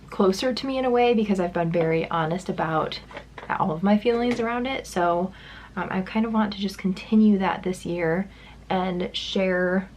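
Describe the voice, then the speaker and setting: A woman's voice: medium pitch, slight background noise. A young woman vlogging at home as she gets ready, talking in a loose, rambling way with lots of "like" and "um", her voice stuffy and congested. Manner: casual and rambling, many likes and ums. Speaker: beauty vlogger. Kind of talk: chatty get-ready-with-me vlog.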